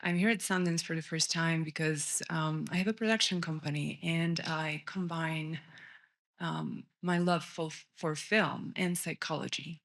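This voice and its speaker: A woman from Poland stands up in the audience to put a question to a panel. Her voice sits low with a gravelly edge, and she speaks in an earnest, measured way. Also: steady tone, fairly bright tone. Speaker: audience member asking a question. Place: festival panel lounge.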